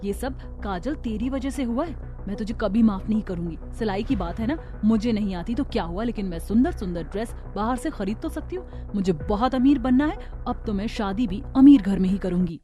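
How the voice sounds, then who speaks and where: A woman's voice: mid-range voice, warm tone, lightly acted. A woman voicing a jealous, sulky young elder sister in a Hindi cartoon story, petulant, demanding and bitter.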